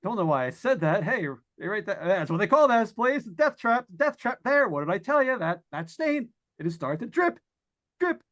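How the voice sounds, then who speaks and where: A man's voice: high voice, sing-song, a bit bright. A man playing a nervous, lonely radio broadcaster unravelling in a haunted house, stammering and rambling in 1940s radio suspense style. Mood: mounting dread. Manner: stammering, halting, rambling with repeated words. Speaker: actor in old-time radio play. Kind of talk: 1940s radio suspense acting, live monologue.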